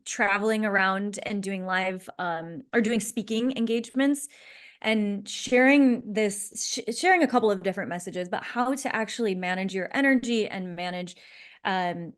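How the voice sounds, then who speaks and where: A woman in her thirties co-hosts a podcast in relaxed, bubbly chat, often laughing and restarting her sentences. Her voice sits in an ordinary middle range. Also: medium pitch, moderate pace. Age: 30s. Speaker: podcast co-host. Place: San Diego.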